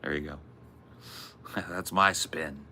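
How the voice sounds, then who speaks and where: A man with a deep voice, co-hosting a podcast in a loose, profane, rambling chat. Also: steady tone, slow, pushed and bright, a little hiss or hum. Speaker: podcast co-host.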